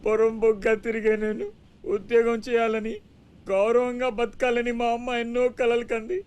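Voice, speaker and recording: A man's voice: extremely high pitch, completely flat delivery, moderate pace, a little mellow, clean tone. An actor playing a distraught, guilt-ridden young man in a melodramatic Telugu film. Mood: anguished, pleading. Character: distraught guilt-ridden young man begging to die over his mother.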